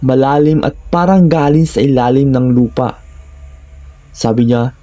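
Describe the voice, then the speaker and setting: A man's voice: medium pitch, unhurried, dark tone, soft background music. A man with a Filipino accent giving a scripted first-person narration of horror stories.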